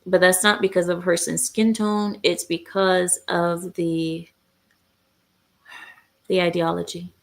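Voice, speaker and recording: Woman with a gravelly, fry-tinged voice. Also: fairly low pitch, little pitch movement, a little slow, long pauses. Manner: blunt and opinionated, with frequent hesitations. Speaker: livestream host. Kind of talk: casual livestream chat, reading viewer comments.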